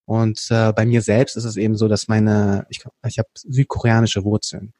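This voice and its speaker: A man from Berlin with a rather low, warm, mellow voice talks in a relaxed interview, explaining things at length. He often hesitates with fillers and starts sentences over. The sound is dry and close. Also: moderate pace, dry recording. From Berlin, Germany. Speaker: podcast guest, company co-founder. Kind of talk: casual conversational storytelling.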